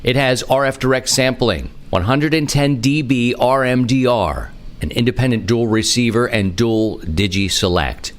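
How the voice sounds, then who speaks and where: A man hosting a podcast, speaking in monologue and reading sponsor copy. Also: medium pitch. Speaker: podcast host.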